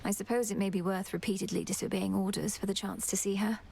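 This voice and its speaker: Actress playing determined young goddess, formal fantasy diction, earnest, some fry, game ambience noise. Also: female voice, mid-range voice, flat delivery, quick pace, dark tone, close to an everyday voice. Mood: solemn, earnest. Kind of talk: theatrical video game dialogue.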